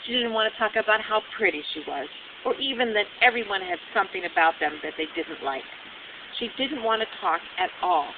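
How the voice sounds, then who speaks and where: A woman reading her own children's book aloud as narrator, with animated delivery and dramatic emphasis. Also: brisk. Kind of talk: expressive storybook narration. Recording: phone-like narrow band, loud hiss and rumble.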